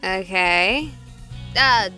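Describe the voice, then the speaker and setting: A woman's voice: medium pitch, lively intonation, extremely slow, sharp tone, faint music behind, exaggerated voice, rough recording. An actress playing a sarcastic, chatty young woman streamer who talks to her viewers. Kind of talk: voiced game dialogue.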